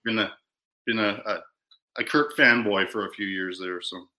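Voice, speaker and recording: A man hosts a relaxed awards chat with a strikingly bright, forceful voice. He talks in halting bursts, restarting and repeating words as he goes. The sound carries a noticeable room echo. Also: on the low side. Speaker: podcast host. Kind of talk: casual chat about film awards.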